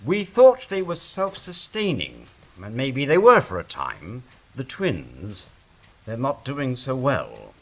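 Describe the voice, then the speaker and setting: A man playing an anxious, defensive angel who guards Eden in an audio drama, fretful and deliberate. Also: medium pitch, huge pitch swings, exaggerated voice, rough recording. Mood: uneasy. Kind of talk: stage-style audio drama acting.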